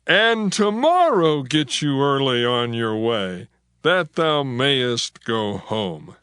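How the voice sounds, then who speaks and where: A man playing a hospitable, insistent father-in-law in a dramatized scripture reading, coaxing in drawn-out archaic English. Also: medium pitch, big pitch swings, fairly bright tone, clear, resonant tone, dry recording. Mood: pleading, insistent. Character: hospitable, insistent father-in-law urging his guest to stay.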